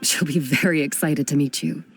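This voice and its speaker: Female artisan villager in a game: gentle, unassuming, measured, slightly low register. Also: steady tone, soft background music. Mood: grateful. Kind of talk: English video game voice acting.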